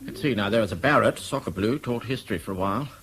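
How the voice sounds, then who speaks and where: An older British schoolmaster in a radio play. His voice is gravelly and lively in pitch. He grumbles and muses in old-fashioned turns of phrase. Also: quick pace, poor audio. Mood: musing, curious. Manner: old-fashioned, musing wording. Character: grumbling, chatty old-guard male schoolmaster. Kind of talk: radio drama acting.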